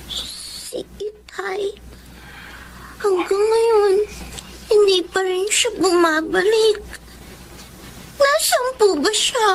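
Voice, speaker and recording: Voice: extremely high pitch, fairly bright tone, slight background noise, heavily acted, low-quality recording. A performer voicing a polite, pleading young girl who longs for her father in a radio drama.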